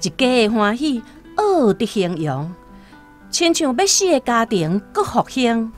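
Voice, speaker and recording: A Taiwanese woman narrating a literary radio drama in a storytelling style, over soft music. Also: a little high, very animated, measured pace.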